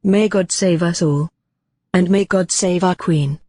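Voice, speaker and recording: A man playing a solemn emergency broadcast announcer, reading formally in a measured way with long pauses. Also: sits high, a little mellow, no room sound.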